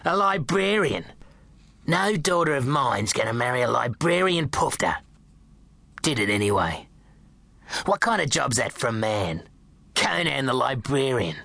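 A spiteful old grandmother, drunk and sneering, her voice gravelly and sharp-edged, with a man doing her voice. The words bite and the tone scoffs. It is close and dry, with no room around it. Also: on the low side, normal speed, no room sound.

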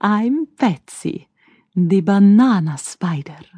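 A mischievous female spider from a children's storybook, as a woman narrator puts her on, teasing and curious. She speaks slowly in a mellow tone, slyly dropping in Spanish words. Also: mid-range voice, animated, slightly rough, no room sound, clean studio sound. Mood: smug. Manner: sly, drops in Spanish words.

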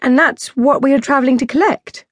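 Woman putting on a higher, inquisitive young heroine. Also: fairly quick, no room sound, close to an everyday voice. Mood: curious, questioning. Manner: inquisitive, courteous. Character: curious, polite, clever young woman adventurer.

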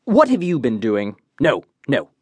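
A man's voice: mid-range voice, huge pitch swings, unhurried, husky, no echo, exaggerated voice. An eccentric detective of comic radio drama. He declaims with pompous certainty, his voice leaping theatrically across a wide range. The sound is dry and close. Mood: flustered. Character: eccentric, pompous male detective, absurdly sure of his deductions.

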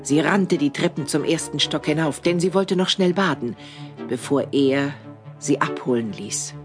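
A woman narrates a scripted radio play in a very low voice. Prominent music plays behind her storytelling. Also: moderate pace. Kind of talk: scripted audio drama narration.

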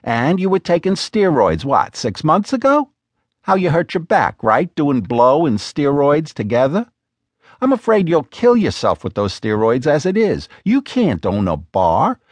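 Exasperated sharp-tongued woman partner, done by a man, raised pitch, sarcastic and scolding, swooping emphasis, dry studio sound. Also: huge pitch swings, unhurried, no room sound, full character voice.

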